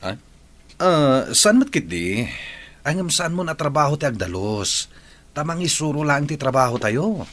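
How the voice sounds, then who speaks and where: A man's voice: a little high, big pitch swings, moderate pace, fairly bright tone. A man with an Ilocano accent playing a nosy, petty teacher in an audio drama. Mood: confrontational. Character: nosy, critical male teacher, petty and challenging colleague. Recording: clearly noisy background.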